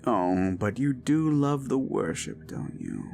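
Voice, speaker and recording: A man voicing an unhinged, possessive young prince who speaks with soft-spoken menace and slips into whispers, over faint dark music. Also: mid-range voice, lively intonation, takes it slowly, creaky. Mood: commanding. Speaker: voice actor. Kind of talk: audio roleplay drama.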